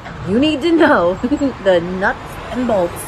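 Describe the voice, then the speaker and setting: A woman's voice: a little high, lively intonation, slow pace, background noise. A woman playing a whiny, flighty, boy-crazy young heiress in an audio drama. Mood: giggly, teasing.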